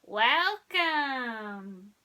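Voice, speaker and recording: A woman voicing little green toy aliens in a bedtime story, awed and easily startled, with drawn-out solemn exclamations. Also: on the high side, lively intonation, pushed and bright, heavily acted. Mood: awed, welcoming.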